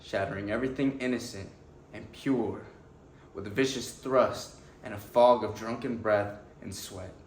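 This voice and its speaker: An actor playing an earnest, anguished defense lawyer pleading to a jury, delivering a deliberate, emotional courtroom monologue with weighted pauses. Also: male voice, fairly low pitch, fairly even intonation, close to an everyday voice. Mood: grim, anguished. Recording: echoey room with faint background noise.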